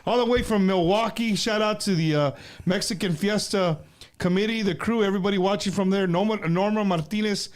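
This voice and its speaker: High-voiced host. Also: male voice. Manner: halting, repeats words while asking. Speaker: show host. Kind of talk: casual live-stream interview.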